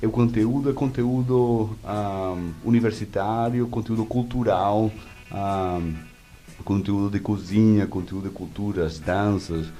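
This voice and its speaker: A man with a Venezuelan accent and native Spanish, answering interview questions conversationally in halting speech, searching for words. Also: fairly low pitch, fairly even intonation, dark tone. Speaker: interview guest, media executive.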